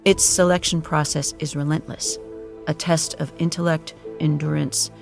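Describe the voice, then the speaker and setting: A man's voice: a little high, flat delivery, normal speed, gravelly, soft background music. A man reading scripted, dramatic narration as the narrator of an audio drama.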